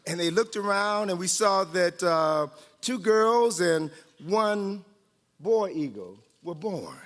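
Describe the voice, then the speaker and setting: A man's voice: sits high, animated, slow pace, a bit bright. A man reading a story aloud to a group as a guest storyteller, playful and dramatic, with joking asides. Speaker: guest storyteller, author and historian. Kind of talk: animated read-aloud storytelling to live group. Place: large hall at a training event. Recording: reverberant hall.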